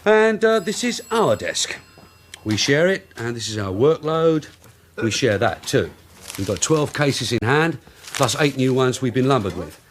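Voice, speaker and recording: An actor playing a sharp, world-weary British detective sergeant in a radio drama, wry and matter-of-fact. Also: male voice, medium pitch, big pitch swings, measured pace. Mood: wry, businesslike. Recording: faint background noise and clicks.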